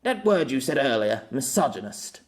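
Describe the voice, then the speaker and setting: A man's voice: mid-range voice, big pitch swings, moderate pace, natural voice. A man voicing an earnest, reserved man who speaks hesitantly and reflectively in an audiobook. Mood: wistful. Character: earnest, wistful, reserved man confiding his feelings. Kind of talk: amateur audiobook character dialogue.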